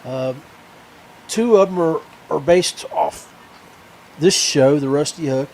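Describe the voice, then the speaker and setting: An American man hosting a podcast in a casual, rambling way, halting as he searches for words. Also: a little high, darkish tone, poor audio. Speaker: podcast host.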